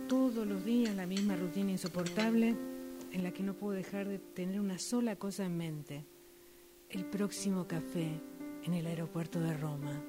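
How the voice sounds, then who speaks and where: A woman reading a scripted dramatic monologue as a workshop student. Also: fairly low pitch.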